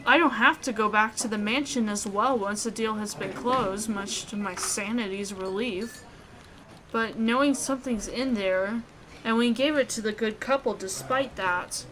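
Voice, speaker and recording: A woman reading a visual novel aloud in an expressive way, with reactions as a gaming YouTuber. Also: fairly high pitch, normal speed, a crowd in the background, low-quality recording.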